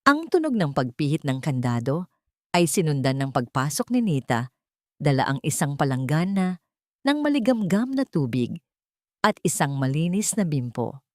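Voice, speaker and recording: A woman narrates a dramatic audio story. Her voice sits on the low side for a woman, and she reads from a script as a storyteller. The recording is very dry, with no room sound. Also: big pitch swings, normal speed, a little mellow, dry recording.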